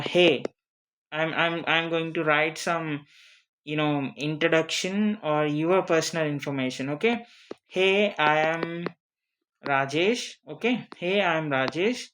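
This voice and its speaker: A non-native English speaker gives an informal lesson at a slow, halting pace. The teacher repeats short phrases and keeps checking for understanding. The pitch sits in a middle range, with faint noise behind the voice. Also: a bit bright. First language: not English. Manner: halting, repetitive, frequently checking with "okay?". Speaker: online teacher of interview English. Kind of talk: informal spoken lesson with examples.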